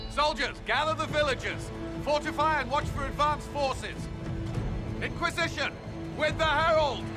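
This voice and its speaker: A fierce battle leader in a fantasy game. His voice is pushed very high as he loudly commands and rallies, over loud music. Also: moderate pace. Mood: rousing, fierce. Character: fierce male soldier rallying troops. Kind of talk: video game cutscene acting.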